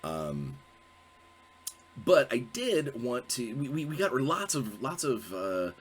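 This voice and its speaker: A man's voice: mid-range voice, big pitch swings, takes it slowly, husky. A man hosting his own video show, talking casually while congested and sniffly from a cold, rambling and correcting himself with lots of fillers. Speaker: host. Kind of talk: casual solo video talk.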